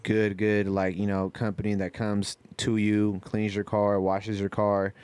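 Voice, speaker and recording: Male American podcast host, slangy and informal, smooth tone, close dry sound. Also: lowish voice, completely flat delivery, measured pace, a little mellow, no room sound. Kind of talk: casual chat and sponsor shout-outs.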